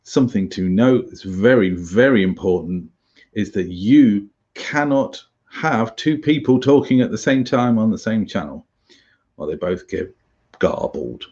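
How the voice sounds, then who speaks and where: A British man giving an informal tutorial as an instructor, halting and chatty with wry asides. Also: lowish voice, lively intonation, darkish tone, dull audio. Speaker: survival instructor, presenter.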